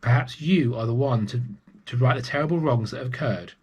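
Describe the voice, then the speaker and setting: Storybook old woman, acted by a man: kindly, solemn, deliberate, husky delivery. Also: medium pitch, darkish tone, highs cut off, close to an everyday voice. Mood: grave, urging. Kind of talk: children's storybook character voice.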